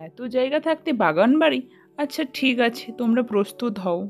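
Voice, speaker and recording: A woman's voice: fairly high pitch, animated, a little fast, a little mellow, soft background music. A woman voicing a practical father in a children's cartoon story, giving instructions in a matter-of-fact way. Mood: agreeable. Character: practical father of a schoolgirl, head of household.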